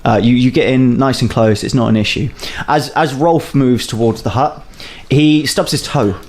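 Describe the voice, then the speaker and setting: A man narrating a tabletop game as a first-time game master, pausing often with uhs and restarts. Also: medium pitch. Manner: hesitant, lots of uhs and restarts.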